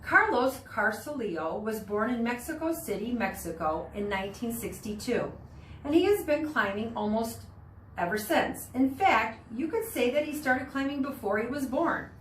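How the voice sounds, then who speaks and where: A woman's voice: on the high side, expressive. A woman teacher reading a children's nonfiction book aloud to students in an explanatory way. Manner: explanatory, pauses to explain words and pictures. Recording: roomy, distant mic with background noise.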